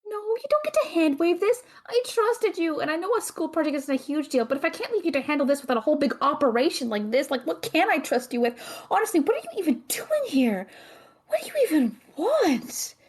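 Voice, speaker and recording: A woman's voice: high-pitched, very animated, brisk. A young woman playing a stressed, sarcastic student who is a loyal friend, in a naturalistic audio drama. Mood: angry, stressed. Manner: sarcastic, exasperated, swearing.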